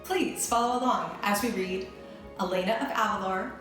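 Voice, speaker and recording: A woman's voice: mid-range voice, moderate pace, fairly bright tone, soft background music. A woman narrating a children's storybook aloud, reading expressively and carefully. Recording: roomy indoor echo.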